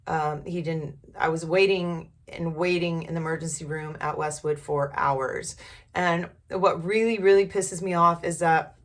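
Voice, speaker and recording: Hoarse, low-voiced woman vlogging candidly. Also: steady tone, a little slow. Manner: candid, informal, mildly profane. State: hoarse from a recent illness. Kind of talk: casual personal storytelling.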